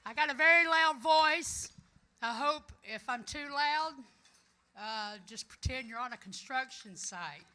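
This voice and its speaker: Bright, high-pitched Southern woman giving a speech. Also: measured pace. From American South, Florida. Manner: emphatic, folksy, loud and declamatory. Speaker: union electrician and trades council president giving a speech. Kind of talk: rousing conference speech. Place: conference hall, addressing an audience.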